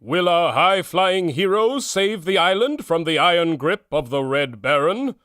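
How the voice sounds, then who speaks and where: A man's voice: sits high, expressive, a little slow, a bit bright, clear, resonant tone, faint music behind. A man announcing an old-time radio adventure serial in a theatrical, emphatic style.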